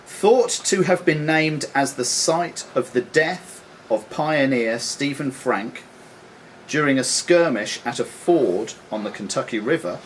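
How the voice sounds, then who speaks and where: A man acting as quizmaster, reading questions aloud in a deliberate, formal announcing way in a club room. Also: mid-range voice, animated. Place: sports and social club room. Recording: noisy live recording in club room.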